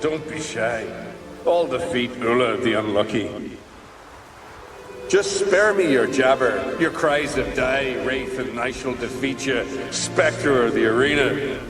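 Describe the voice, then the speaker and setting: A man's voice: mid-range voice, over loud music, lightly acted. An actor playing the weary, jaded ghost of a doomed male warrior in a video game, speaking in a sardonic way. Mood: weary, bored. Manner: slow, world-weary, sardonic. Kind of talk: video game cutscene acting.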